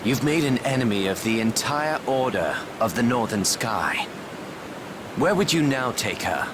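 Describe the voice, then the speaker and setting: An actor playing a proud, guarded young knight in a fantasy game, speaking in measured, formal archaic phrases. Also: male voice, medium pitch, sing-song, background noise. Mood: cool, calculating. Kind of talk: dramatic fantasy game voice acting.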